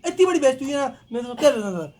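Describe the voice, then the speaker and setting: A man hosts a live stream, his voice hoarse from a sore throat. He talks very fast, greeting viewers by name and joking along. His voice sits very high for a man. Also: big pitch swings, dark tone. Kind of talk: casual live chat reading viewer comments.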